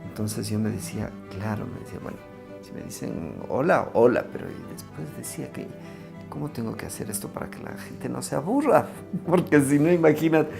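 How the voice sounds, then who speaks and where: A man's voice: medium pitch, lively intonation, a little fast, gravelly, music in the background. A man chatting casually in an interview, in a conversational, anecdotal way. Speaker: radio actor.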